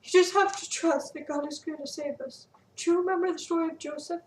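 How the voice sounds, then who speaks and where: A woman's voice: very high-pitched, fairly even intonation, fairly quick, slight background noise, voice pushed up. A woman reading a storybook, voicing an earnest young boy who comforts his friend, with a roomy echo from a distant mic. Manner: soothing, earnest.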